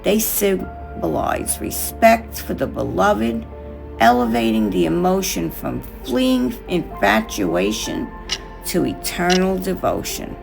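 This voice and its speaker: Slow, low-pitched woman narrating reflectively. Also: big pitch swings, creaky, over music. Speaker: craft tutorial host. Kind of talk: scripted narration over a craft video.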